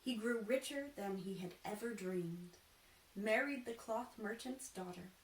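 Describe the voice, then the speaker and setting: A woman reading a children's storybook aloud in an expressive, deliberate, theatrical way. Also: medium pitch. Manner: animated, deliberate, acts out dialogue. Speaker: costumed storytime reader. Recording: echoey room, distant mic, faint noise.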